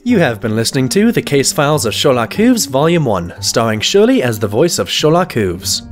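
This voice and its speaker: A man's voice: mid-range voice, sing-song, moderate pace, faint music behind. A man announcing credits in a scripted narration.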